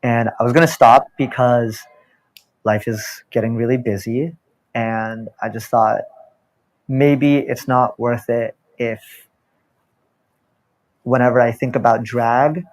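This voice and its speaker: A man's voice: medium pitch, poor audio. Hesitant young man telling a personal story. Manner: reflective, hesitant, many fillers and restarts. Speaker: panelist, drag performer.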